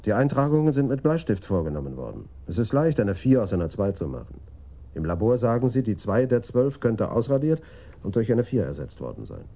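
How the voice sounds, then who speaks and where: Low, gruff, sardonic detective. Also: male voice, very animated, brisk, dark tone. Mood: matter-of-fact. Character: gruff, sardonic, world-weary male police detective. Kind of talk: German radio crime drama acting.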